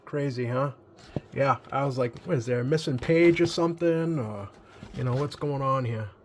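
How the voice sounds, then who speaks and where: A man giving a casual, unscripted hands-on commentary as a hobbyist repairer, easygoing and halting as he thinks aloud. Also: mid-range voice, a little hiss or hum. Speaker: radio repair hobbyist hosting a video.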